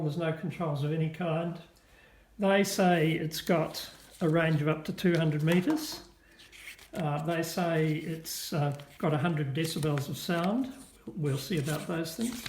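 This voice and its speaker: A man's voice: fairly high pitch, darkish tone. A man giving a casual, methodical product demonstration in plain words. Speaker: product reviewer. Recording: echoey with clear background noise.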